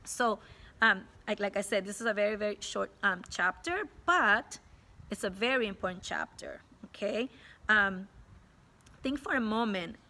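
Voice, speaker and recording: A woman teacher reading a story aloud to children expressively, pausing to explain it in simple words. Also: mid-range voice, expressive, bright, forceful tone.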